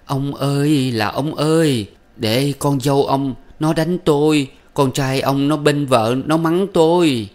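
A man's voice: mid-range voice, expressive, measured pace, pitched above the performer's own voice, lightly acted. A man voicing a furious, domineering older mother-in-law who scolds and wails melodramatically. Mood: raging, wailing. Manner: scolding, wailing, self-pitying.

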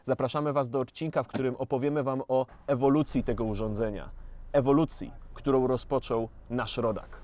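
A man's voice: mid-range voice, fairly even intonation, a little fast, darkish tone, a little hiss or hum. A man reading scripted educational narration as a popular science presenter.

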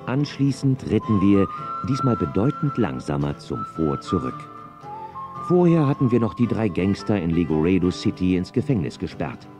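A man playing a sheriff who narrates his own western adventure in a scripted children's audio drama. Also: low voice.

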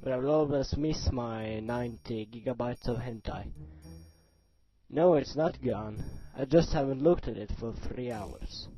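A man playing a young, scheming, excitable slacker in a web cartoon, with casual comic delivery. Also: mid-range voice, unhurried, soft background music, close to an everyday voice, rough recording. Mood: wry. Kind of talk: amateur web cartoon character acting.

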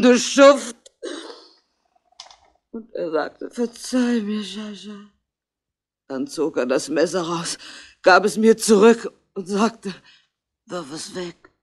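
An ailing older bar woman, bitter with jealousy and love. She confesses with anguished, theatrical weight in a vintage radio crime play. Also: medium pitch. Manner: anguished, theatrical, dramatic delivery. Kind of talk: 1960s German radio crime drama acting.

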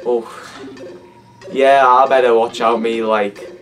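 A hoarse teenage young man with a Cumbrian accent, chatting and laughing in a casual reaction vlog from his bedroom. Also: medium pitch, little pitch movement, slow pace, recorded from a distance, faint music behind. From Cumbria, England. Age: teens. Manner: laughing, swearing, incredulous. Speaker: YouTuber. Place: bedroom, indoors. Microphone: webcam at desk.

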